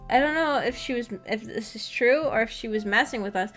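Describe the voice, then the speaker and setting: Woman, fairly high voice, casual livestream chat, rambling and self-deprecating, giggly manner, faint music behind. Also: fairly quick, fairly bright tone. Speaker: livestream host chatting with viewers.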